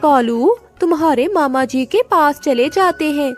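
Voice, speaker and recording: Cartoon crow mum as a female narrator plays her: high, dramatic, protective, exclaiming slowly over music. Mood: frightened. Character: fierce, dramatic mother crow, protective and nagging.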